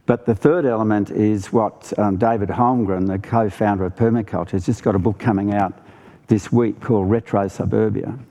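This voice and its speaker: A man's voice: on the low side, fairly even intonation, normal speed, dark tone. An Australian man speaking earnestly and emphatically as a panellist in a live discussion. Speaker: farmer and ecologist, festival panellist.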